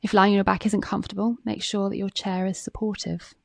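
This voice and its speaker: A woman reading a guided meditation script in a calm, gentle, measured way, with phrases that soften at the ends. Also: medium pitch, creaky, no echo.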